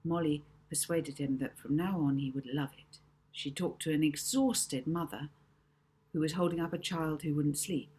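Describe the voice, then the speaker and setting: A woman reading a children's novel aloud as expressive audiobook narration. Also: sits low, moderate pace, breathy edge.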